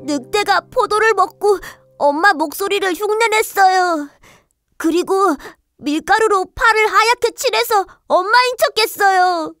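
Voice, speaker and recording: 아주 높고 앳된 아기 염소. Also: female voice, very high-pitched, a little slow, fairly bright tone, no room sound, soft background music, exaggerated voice. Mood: upset. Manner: childlike, earnest, plaintive. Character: small, earnest youngest baby goat in a fairy tale. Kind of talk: children's storybook voice acting.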